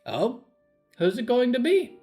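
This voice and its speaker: An imperious old grandmother, acted out by a man for an audio story. The voice is pitched up and very animated. She is fiercely protective, with a cutting, emphatic way of talking. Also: unhurried, soft background music, heavily acted. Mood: challenging. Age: older. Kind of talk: audio fiction story acting.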